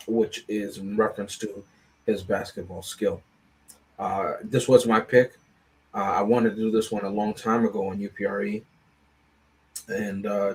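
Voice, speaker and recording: Even-toned, lowish-voiced man discussing film. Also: rough recording. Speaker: panelist on a movie review show. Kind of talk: casual film discussion and synopsis.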